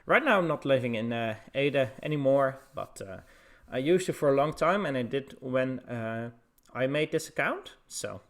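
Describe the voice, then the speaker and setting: A man with a Dutch accent casts a live esports match. He talks in a relaxed, rambling way, filling pauses with "uh" and "um" as he answers viewers in the chat. His voice sits at a middle pitch. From Netherlands. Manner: loose and chatty, many filler words, replying to the chat. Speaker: esports caster. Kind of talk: casual live stream commentary.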